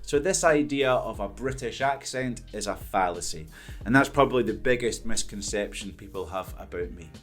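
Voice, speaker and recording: A man's voice: lowish voice, animated, moderate pace, faint music behind. A Scottish man in his thirties, an English teacher, talking to camera at a studio mic in an upbeat, earnest, emphatic way. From Scotland. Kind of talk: direct-to-camera talk answering questions. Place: indoors before a green-screen backdrop. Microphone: studio condenser mic in front.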